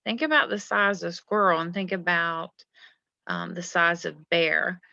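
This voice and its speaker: A woman's voice: fairly low pitch, unhurried, gravelly, pushed and bright, no room sound, highs cut off. A woman leading a read-aloud lesson like a teacher, explaining the story and prompting young listeners with questions. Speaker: teacher reading a picture book aloud.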